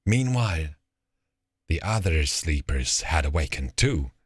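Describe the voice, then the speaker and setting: A man narrating a fairy tale as a storyteller, with deliberate, expressive phrasing. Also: extremely low pitch, breathy edge, dry recording, clean studio sound.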